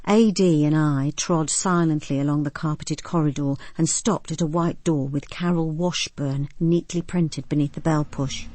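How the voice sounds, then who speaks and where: Composed detective narrator, close and dry. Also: female voice, sits low, dark tone, no echo. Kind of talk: scripted first-person drama narration.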